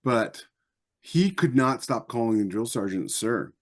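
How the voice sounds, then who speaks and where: A man with an American accent and a medium-pitched voice tells personal anecdotes. He sounds conversational and a little wry, as a co-host in a casual podcast chat. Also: animated, measured pace.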